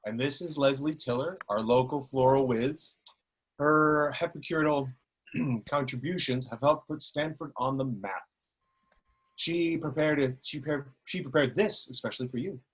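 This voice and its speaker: A man's voice: medium pitch, a little slow, breathy edge, low-quality recording. Male actor as village dignitary. Pompous, genial, formal, middle register. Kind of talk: film script table read.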